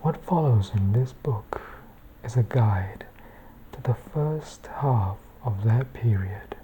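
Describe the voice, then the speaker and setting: A woman reading a bedtime book in ASMR style, hushed and measured, with a soft, breathy voice that often slips into a whisper. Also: extremely low pitch, expressive, muffled recording, background noise.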